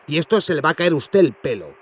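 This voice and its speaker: Prank-call hotel owner, played by a man: accusatory, scolding, insistent, rapid delivery. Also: a little high, big pitch swings, breathy edge. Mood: indignant, threatening. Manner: scolding, insistent, repeats words. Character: indignant, accusatory hotel owner, middle-aged or older man. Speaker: radio prank caller. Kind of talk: improvised prank phone call.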